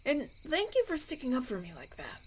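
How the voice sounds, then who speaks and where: A woman voicing a kind, grateful young prince in a roleplay audio, speaking in a gentle, hesitant, conversational way. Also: high voice, wildly swooping pitch, a little fast, slight background noise, pitched above the performer's own voice. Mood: touched, shy.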